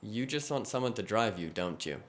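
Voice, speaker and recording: A man's voice: fairly low pitch, expressive, normal speed, close to an everyday voice. A man playing a knowledgeable, matter-of-fact crew member in a cyberpunk audio drama, explaining things plainly.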